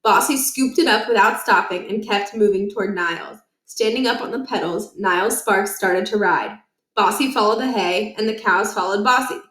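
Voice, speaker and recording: Woman storybook reader, mid-pitched, expressive children's narration, noticeably roomy sound. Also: normal speed. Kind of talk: children's read-aloud narration. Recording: roomy, echoing indoor recording.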